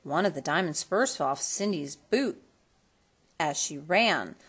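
Lively woman reading a storybook aloud. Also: low voice, measured pace, slightly rough. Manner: theatrical, emphasizes colorful words. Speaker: storybook reader. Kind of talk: expressive read-aloud of a children's storybook.

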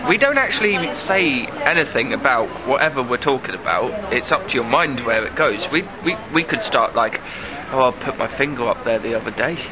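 A man's voice: medium pitch, animated, fairly quick, music in the background. Bunged-up young man vlogging. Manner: chatty, laughs often, whinging. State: blocked nose from a cold. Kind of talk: casual daily vlog chat. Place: bedroom at home, later a busy cafe. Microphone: handheld camera at arm's length. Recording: slightly dull lo-fi camera audio, busy crowd.

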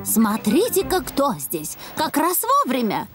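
An encouraging animal schoolteacher in a children's cartoon. She has a high, animated voice and a measured, theatrical way of prompting, over loud music. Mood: storytelling.

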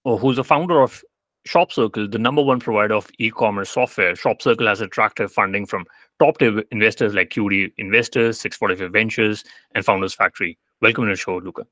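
A male podcast host with a fairly low but bright voice asks his questions over a video call. He restarts his sentences and leans on "you know". The sound is dry and close, with little room echo. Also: brisk. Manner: hesitant, repeats words, "you know" fillers. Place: indoors, home room. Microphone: video call webcam.

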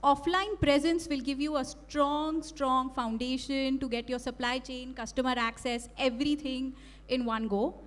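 A woman's voice: high voice, fairly even intonation, normal speed. Confident woman founder on a panel. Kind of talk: conference panel discussion. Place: large conference hall stage. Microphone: handheld mic.

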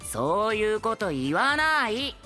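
A male actor playing an excitable, cheerful young idol newcomer in an audio drama, boyish, eager and casual. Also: sits high, slow, soft background music, full character voice. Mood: excited.

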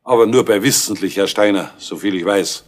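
An actor playing a sly, ironic private investigator in a 1970s radio crime play. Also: male voice, lowish voice, a little fast, lightly acted. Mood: teasing. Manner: ironic, smooth, teasing.

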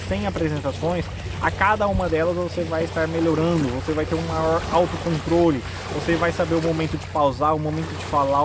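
A man in his twenties with a Brazilian accent, explaining things informally to camera as a vlogger. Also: fairly high pitch. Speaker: vlogger giving nutrition advice. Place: outdoors in a sunny park-like area. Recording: noisy outdoor recording, clear background noise.

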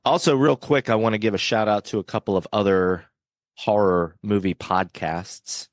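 Man co-hosting a podcast, low-pitched, jokey, on a dry close mic. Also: normal speed, dry recording. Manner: jokey, laughs often, upbeat plugs. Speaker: podcast co-host. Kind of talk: casual movie podcast chat.

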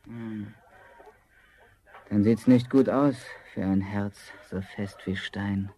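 A man acting an uneasy, guilt-haunted peasant in a fairy-tale audio drama, halting and subdued. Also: on the low side, fairly even intonation, mellow, husky, full character voice, rough recording.

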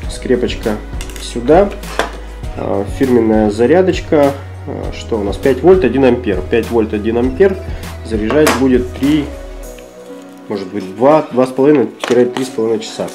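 A man with a slightly hoarse, husky voice from a cold narrates an unboxing in a chatty way, thinking aloud as he goes. His pitch sits in the middle range. Music plays clearly underneath the recording. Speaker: tech reviewer. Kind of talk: casual unboxing commentary. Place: office desk indoors.

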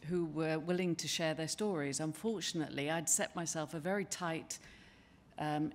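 An older woman giving a conference presentation at a lectern in a large wood-panelled hall, speaking in a measured, conversational way with frequent ums and restarts. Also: low-pitched, a little mellow, slight background noise. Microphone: lectern microphone.

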